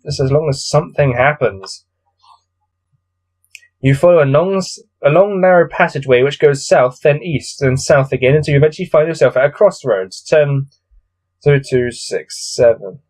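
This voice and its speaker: A man's voice: on the high side, normal speed, a little mellow. A man hosting a gamebook read-through, mixing read-aloud narration with casual, bored and exasperated commentary. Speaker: host reading a gamebook aloud.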